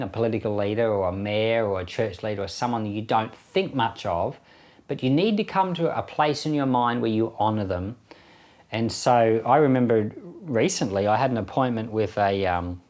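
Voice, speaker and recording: Man, Australian accent, mid-pitched voice, pastor, conversational Bible teaching, plain-spoken. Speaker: pastor and Bible podcast host. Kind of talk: conversational Bible teaching with read verses and anecdotes.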